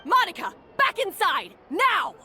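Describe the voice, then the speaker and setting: Female villainous boss chef for a game: very high, forceful, imperious, contemptuous. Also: sing-song, a little slow, soft background music, exaggerated voice. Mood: commanding. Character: domineering, harsh female head chef. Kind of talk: animated game voice acting.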